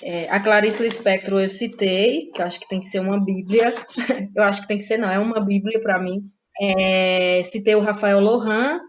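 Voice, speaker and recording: A woman from Ceará, a playwright speaking as a guest in a relaxed interview, laughing often and trailing off in pauses. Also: medium pitch, a little hiss or hum. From Ceará, Brazil. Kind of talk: casual interview chat.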